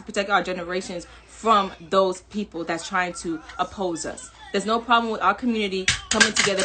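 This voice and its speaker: A woman's voice: mid-range voice, bright, forceful tone, a little hiss or hum. A woman from New York with a Jamaican-American accent, talking candidly and emphatically to viewers, repeating herself and laughing between points. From New York, Jamaican family. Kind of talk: candid personal video monologue.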